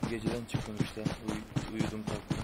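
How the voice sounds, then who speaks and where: A man's voice: fairly low pitch, completely flat delivery, a little slow, over loud music. A man chatting casually with his squad while playing a shooter game. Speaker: mobile shooter game player. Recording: noisy game voice chat with gunfire. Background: game gunfire and clicks.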